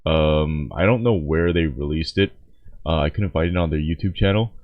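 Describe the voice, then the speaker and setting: A man chatting casually to viewers in a sleepy, halting way as he hosts a reaction video. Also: rumbling low, dry recording, narrow, tinny audio. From lives in Korea. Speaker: host, reacting to game cinematics.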